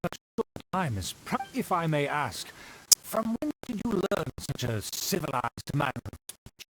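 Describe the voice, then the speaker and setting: An actor playing a haughty, condescending aristocrat in a video game, speaking in a formal, grandiose manner. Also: male voice, fairly high pitch, big pitch swings, normal speed, background noise. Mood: haughty, appraising. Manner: formal, grandiose, condescending, flustered when slipping. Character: pompous, haughty aristocrat of a faded noble clan.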